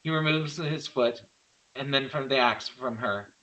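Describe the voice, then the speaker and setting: Bright, forceful male script narrator. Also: medium pitch, a little slow, dull audio. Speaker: narrator reading stage directions. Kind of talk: scripted narration of horror screenplay.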